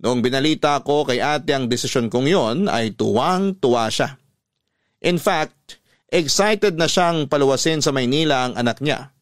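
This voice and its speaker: Male actor as sincere Filipino letter writer: mid-pitched, measured first-person narration, close dry studio sound. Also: no echo, close to an everyday voice. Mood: earnest. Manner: earnest, measured, confiding. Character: earnest man recounting his family story by letter. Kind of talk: scripted first-person radio drama narration.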